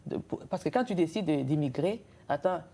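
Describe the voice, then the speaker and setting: A woman's voice: sits low, animated, fairly quick, dark tone. A woman from Cameroon, a guest entrepreneur and author, talking thoughtfully in an interview with frequent hesitations and rhetorical questions. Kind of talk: conversational interview.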